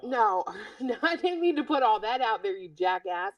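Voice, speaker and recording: A woman's voice: a little high, animated, normal speed, no echo, recorded from a distance, muffled recording. A woman on a group stream, chatting casually with blunt, salty banter. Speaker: stream panelist.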